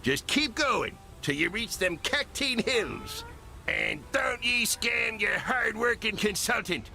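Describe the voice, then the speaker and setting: Game cutscene role: scheming, blustering crab, very high for a man, sharp and forceful. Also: big pitch swings, slow, gravelly, faint music behind, exaggerated voice. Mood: sly. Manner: folksy, shifty, blustering. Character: greedy, scheming male cartoon crab in cowboy world. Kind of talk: animated game cutscene acting.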